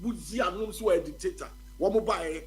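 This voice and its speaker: A man's voice: high voice, quick pace. Ghanaian man in his fifties, stammering. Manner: mixes English and Twi, repeats words, stammers. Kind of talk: casual radio discussion.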